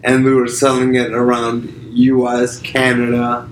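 A man from Pakistan, a podcast guest, talking casually about his business in a halting way with frequent fillers and restarts. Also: medium pitch, monotone, slight background noise. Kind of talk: casual conversation. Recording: echoey room with faint ambience.

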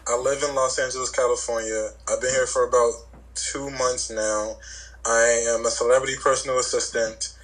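A man's voice: medium pitch, level tone, moderate pace, fairly bright tone, rough recording. A young man guesting on a podcast, bantering in casual chat with profane, mock-serious jokes.

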